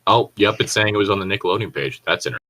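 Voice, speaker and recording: Deep-voiced, rambling, sweary man. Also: racing, a bit bright. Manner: sweary, rambling, self-correcting. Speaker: podcast co-host reading and discussing creepypastas. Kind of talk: casual commentary chat.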